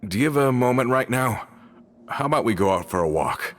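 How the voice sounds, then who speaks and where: A man's voice: medium pitch, animated, brisk, fairly bright tone, close to an everyday voice. A man playing a loyal guild member in a game, plain-spoken and a bit hesitant.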